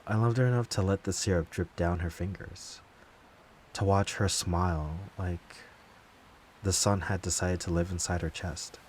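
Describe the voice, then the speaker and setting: A man playing a wounded, betrayed lover turned bitter and vengeful in a slow, deliberate dramatic audio monologue. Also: low voice, steady tone, darkish tone, slight background noise, close to an everyday voice. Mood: tender, wistful. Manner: slow, deliberate, brooding, heavy pauses. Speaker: voice actor.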